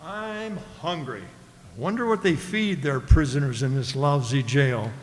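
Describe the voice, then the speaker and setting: An actor playing a glib, wry captive broadcaster in a satirical radio play, talking in a dry, leading manner. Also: male voice, medium pitch, lively intonation, a little slow, a little hiss or hum, lightly acted. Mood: grumbling. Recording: hall-like stage reverb.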